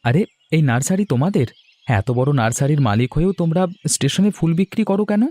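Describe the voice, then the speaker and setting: Mellow, friendly male customer acted by a man. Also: on the high side, animated, brisk. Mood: surprised. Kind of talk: cartoon moral story voice acting. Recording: music under the voice.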